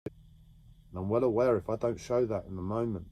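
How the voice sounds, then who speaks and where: A man talking slowly and haltingly about his own experiences in a reflective monologue, with many pauses and restarts. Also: lowish voice, muffled recording. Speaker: livestreamer sharing a personal story. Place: home.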